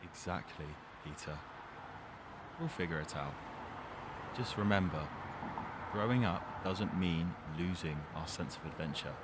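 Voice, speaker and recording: A man playing an earnest, responsible teenage boy in an audio drama, speaking in a measured way. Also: sits low, heavy background noise. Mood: earnest, reassuring. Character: Jack, serious, responsible teenage boy growing distant from his friend.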